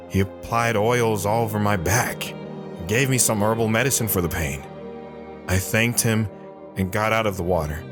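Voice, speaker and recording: Stoic wandering ex-soldier male performer, low register, guarded first-person storytelling for a dark audio drama, clear music bed. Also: close to an everyday voice. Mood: weary, grave. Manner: somber, measured, short plain sentences. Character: lone wandering war veteran, guarded, haunted, stoic man. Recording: music behind the voice.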